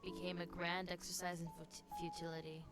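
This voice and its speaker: A woman's voice: on the low side, completely flat delivery, brisk. A woman giving casual, informal live commentary as she reacts to a video game. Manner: informal, chatty. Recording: game music clearly behind the voice.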